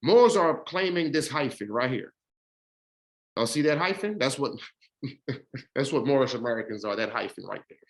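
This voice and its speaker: A man's voice: lively intonation, moderate pace, pauses often. A man reading a court judgement aloud, stumbling over words and breaking off to explain it in his own words. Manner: rises in pitch and speeds up when explaining.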